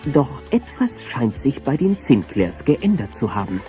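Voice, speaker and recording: A man narrating a German children's audio drama in a mellow, scripted storybook style. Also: mid-range voice, sing-song, a little slow. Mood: storytelling. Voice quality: mellow, warm. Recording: dull cassette recording, faint music behind.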